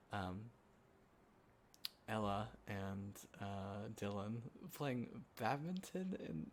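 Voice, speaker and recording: A man acting as a sentimental young guy catching up with a close friend, talking in a casual, halting, soft-hearted ramble for an intimate roleplay audio. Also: medium pitch. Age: young adult. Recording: clear background ambience noise. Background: quiet city ambience.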